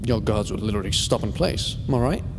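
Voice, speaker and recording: A man's voice: fairly low pitch, vocal fry, background noise. A man playing a flippant, clever time-travelling adventurer in a sci-fi audio drama, wry and defiant. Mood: wry musing. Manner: wry, quick to joke, musing aloud, turning stern. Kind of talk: science fiction audio drama acting. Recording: long hall reverb with music behind.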